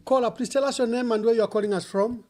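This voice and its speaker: A man's voice: extremely high pitch, steady tone, quick pace, a little mellow. A man hosting a live call-in show, briefly welcoming and prompting callers. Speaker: host.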